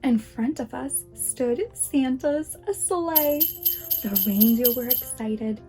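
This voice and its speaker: A woman reading a children's story aloud as a preschool teacher would, with dramatic, deliberate expression. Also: high-pitched, mellow. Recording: music behind the voice. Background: music.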